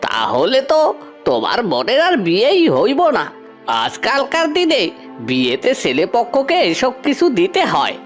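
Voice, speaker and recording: A woman's voice: mid-range voice, wildly swooping pitch, normal speed, soft background music. A woman voicing a pushy village matchmaker in a cartoon, wheedling and colloquial. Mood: dismissive, nagging. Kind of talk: cartoon fairy-tale voice acting.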